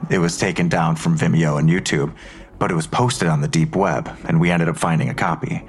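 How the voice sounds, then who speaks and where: A man's voice: low voice, steady tone, fairly quick, close to an everyday voice. An actor playing a matter-of-fact male investigator methodically laying out a case in an audio drama.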